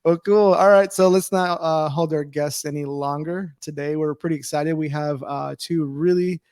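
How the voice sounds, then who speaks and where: A man's voice: a little high, fairly even intonation, normal speed, a little mellow, smooth, no room sound, clean studio sound. Upbeat laughing man in his forties. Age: 40s. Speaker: livestream co-host. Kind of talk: casual chat.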